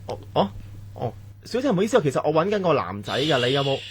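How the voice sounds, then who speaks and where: A man's voice: a little high, sing-song, quick pace, slight background noise. A man playing an exasperated camp instructor in a Cantonese radio drama, with a Hong Kong accent and colloquial delivery. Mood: puzzled. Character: grumbling male camp instructor searching the hillside. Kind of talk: radio horror drama acting.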